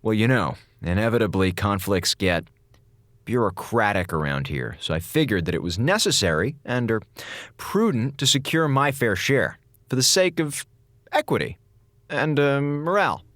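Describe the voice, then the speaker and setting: Sarcastic joking comms officer, lowish voice, dry close sound. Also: male voice, big pitch swings, moderate pace, a bit bright, dry recording. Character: wisecracking, sarcastic male communications officer. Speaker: communications officer. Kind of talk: audio drama log narration.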